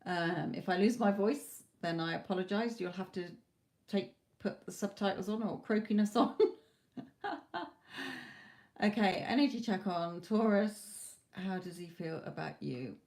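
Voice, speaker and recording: Hoarse-edged woman reading tarot live. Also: fairly low pitch, measured pace, darkish tone. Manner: reassuring, calls viewers "my lovely". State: losing her voice, a bit hoarse. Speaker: tarot reader.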